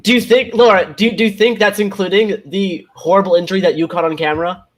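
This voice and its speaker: A woman's voice: mid-range voice, fairly even intonation, quick pace. A woman chatting casually and emphatically as a panelist on an online livestream. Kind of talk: casual chat. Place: video call.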